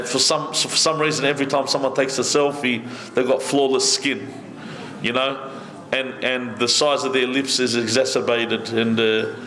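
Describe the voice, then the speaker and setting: A middle-aged man giving a conversational sermon from a seated position, speaking into a stand microphone with lively gestures and asides. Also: medium pitch. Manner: animated, conversational preaching with many asides, gesturing. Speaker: sheikh giving a majlis lecture. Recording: echoey hall.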